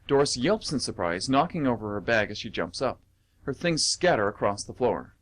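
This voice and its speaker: A man's voice: medium pitch, sing-song, moderate pace, heavy background noise, lightly acted. A man narrating an amateur radio play, reading out scripted stage directions.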